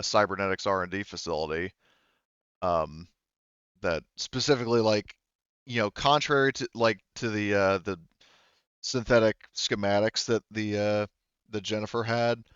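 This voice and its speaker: A man narrating a tabletop roleplaying game as game master, in a halting, conversational way, rephrasing as he goes. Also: fairly low pitch, a bit bright, clear, resonant tone, no echo, lo-fi sound.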